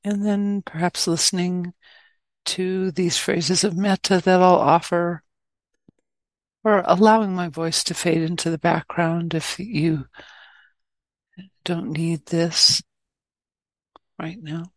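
Female meditation guide, gentle and very unhurried, slightly low register, dry close sound. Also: steady tone, no room sound, poor audio. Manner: gentle, measured, with long pauses. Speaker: meditation teacher. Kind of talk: guided loving-kindness meditation.

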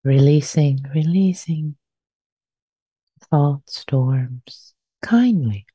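Woman meditation teacher, really slow n gentle, husky mellow voice, close dry sound. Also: deep voice, no echo, muffled recording. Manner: gentle, soft-spoken, unhurried with repeated phrases. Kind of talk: guided meditation.

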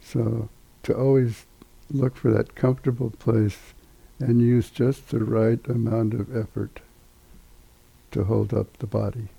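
Meditation teacher, older male, very dark mellow tone, husky, halting delivery with long pauses. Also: medium pitch, level tone, no room sound, low-quality recording. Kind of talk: guided meditation instruction.

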